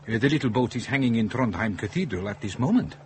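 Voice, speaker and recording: Give me an actor as a courteous, lively-pitched translator. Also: male voice, quick pace, slight background noise, natural voice. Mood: insistent, polite. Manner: polite, hesitant, formal. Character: courteous, hesitant Norwegian translator, firm in his certainty. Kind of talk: radio drama dialogue.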